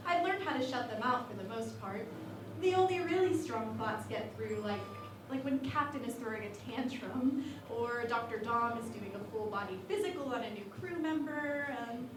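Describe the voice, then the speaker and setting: Very echoey, with clear noise behind, a woman acts a psychic starship officer in a sci-fi parody. She is earnest and wry, explaining things matter-of-factly in a high voice. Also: animated, moderate pace, close to an everyday voice. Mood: relaxed, wry. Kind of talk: parody sci-fi radio play acting. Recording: very echoey, far from mic, noisy.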